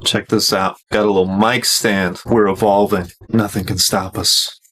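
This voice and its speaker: A man's voice: on the low side, little pitch movement, unhurried, music in the background. A man narrating a casual home-recording vlog as host, in a wry, self-deprecating way.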